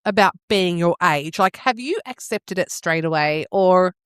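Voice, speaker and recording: A woman's voice: on the low side, animated, unhurried, a bit bright, smooth, no echo, studio quality. A woman in her forties with an Australian accent, co-hosting a casual podcast and expressively acting out her own reactions. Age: 40s. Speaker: podcast co-host.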